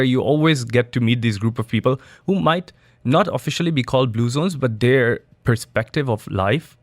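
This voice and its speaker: Relaxed man talking in a dry studio. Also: mid-range voice, no echo. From Dubai. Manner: loose, conversational, with restarts and fillers. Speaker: studio guest, biohacking entrepreneur. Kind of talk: casual interview answers.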